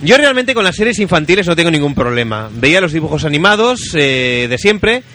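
A man's voice: on the high side, sing-song, fairly quick, over music. A man with an accent from Spain hosting a casual radio chat and reading listener emails, emphatic and fond of repeating phrases. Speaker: radio show host.

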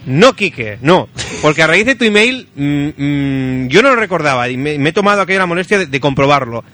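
A man's voice: on the high side, expressive, a little fast, sharp tone. A man with an accent from Spain hosting a casual radio chat and reading listener emails, emphatic and fond of repeating phrases. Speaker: radio show host.

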